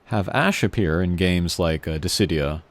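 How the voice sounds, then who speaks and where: A man's voice: deep voice, moderate pace. A man giving casual, rambling, reflective commentary on a video game.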